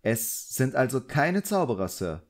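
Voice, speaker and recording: A man's voice: mid-range voice, sing-song, a little slow, pitched above the performer's own voice. Casting: shy boy wizard's apprentice for an audiobook, polite, hesitant, deferential, read by a man. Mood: cautious. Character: timid, polite young boy sorcerer's apprentice.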